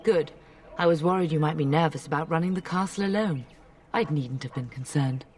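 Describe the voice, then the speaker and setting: Woman acting middle-aged noblewoman, lowish voice, gentle but firm mother, game dialogue, slightly far from mic. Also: fairly quick, natural voice. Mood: composed.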